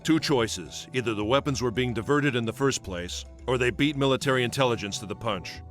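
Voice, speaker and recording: A man's voice: mid-range voice, fairly quick, a bit bright, faint music behind, natural voice. A man playing a blunt special-ops agent in a video game, challenging and questioning what he is told. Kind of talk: English dub acting in a video game cutscene.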